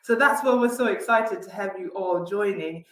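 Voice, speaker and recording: A woman's voice: medium pitch, normal speed, fairly bright tone, echoey room, low-quality recording. A woman from Botswana hosting a live online event and welcoming viewers in an upbeat way. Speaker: host, head of communications.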